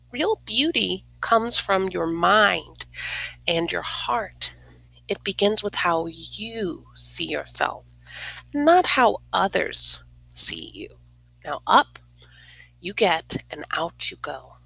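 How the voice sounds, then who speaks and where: A woman plays a tender storybook mother, speaking very slowly and affectionately. The voice is bright and a little creaky, close and dry, with gentle reassurance in each phrase. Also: medium pitch, no room sound, exaggerated voice, poor audio. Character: tender, reassuring mother comforting her young daughter.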